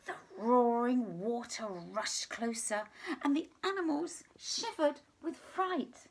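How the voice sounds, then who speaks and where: A woman reading a children's story aloud in an expressive, deliberate storytelling manner, sometimes hushed. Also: fairly high pitch. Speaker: teacher reading a picture book to children.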